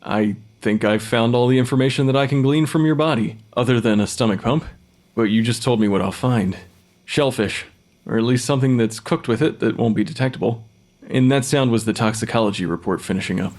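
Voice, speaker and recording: An actor playing a dry, matter-of-fact forensic pathologist who questions the dead, in a naturalistic audio drama. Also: male voice, medium pitch, fairly quick, darkish tone, close to an everyday voice. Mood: clinical, intrigued.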